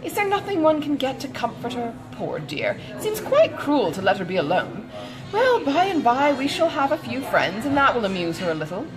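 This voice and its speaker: Casting: female reader as excitable older matron for a storybook, good-natured gossip, gushing, very animated swoops in pitch, music underneath. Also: normal speed, low-quality recording. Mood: fussing, sympathetic. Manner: gushing, exclamatory, rambling.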